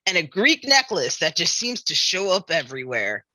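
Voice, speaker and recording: A woman's voice: lowish voice, sing-song, moderate pace, pushed and bright, no room sound, heavily acted, poor audio. A woman reading the part of a worried, exasperated beach-town sheriff in a musical comedy table read, pointed and incredulous. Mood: exasperated, dry.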